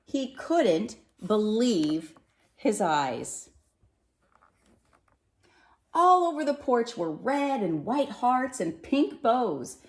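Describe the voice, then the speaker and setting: A woman reading a children's storybook aloud with expressive narration, recorded in an echoey room. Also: a little high. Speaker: storybook reader.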